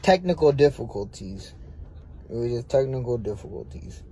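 Brash young man, mellow voice. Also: mid-range voice, animated, normal speed, a little hiss or hum, poor audio. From United States. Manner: brash, repeats himself, swears freely. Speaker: boxer on a social media livestream. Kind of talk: casual livestream chat. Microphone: phone held close.

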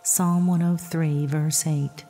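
Slow, breathy woman guiding sleep meditation. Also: sits low, fairly even intonation. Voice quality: soft, breathy, husky. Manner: soothing, measured, hushed. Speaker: meditation guide. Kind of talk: scripted guided sleep meditation narration with Bible readings. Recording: long reverb, soft music behind.

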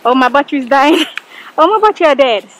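A woman's voice: sits high, expressive, brisk, fairly bright tone, slight background noise, rough recording. A young woman vlogging, chatting casually to a handheld camera as she hikes up a muddy trail and later talks at home. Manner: chatty, breathless, exclaiming. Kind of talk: casual vlog chat. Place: outdoors on a muddy hillside trail, then a car, then a bedroom at home.

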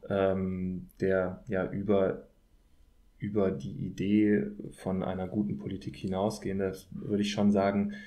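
A man with a fairly low, mellow voice and very little melodic movement. He speaks as an academic guest in an analytical conversation. He is measured and pauses to pick his words. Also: monotone. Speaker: political scientist, podcast guest. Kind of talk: analytical interview talk.

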